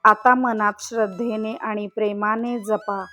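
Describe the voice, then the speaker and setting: A woman leading a devotional guided meditation in a calm, soothing, measured way with long pauses. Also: mid-range voice. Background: music.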